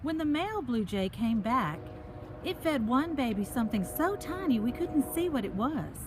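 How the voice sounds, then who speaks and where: A woman's voice: high-pitched, very animated, moderate pace. A woman reads a picture book aloud to children while walking outside in a park, adding chatty explanations and questions for her young listeners. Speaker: picture book reader and host. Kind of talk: reading a children's story aloud with chatty asides. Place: outdoors, walking along a park path. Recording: noisy outdoor ambience while walking.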